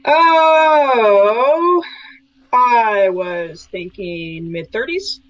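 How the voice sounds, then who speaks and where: A woman's voice: fairly high pitch, very slow, a bit bright. A woman talking casually as a player in a roleplaying game, describing her character, on slightly lo-fi audio. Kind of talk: casual table talk, describing own character. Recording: slightly dull, lo-fi online audio.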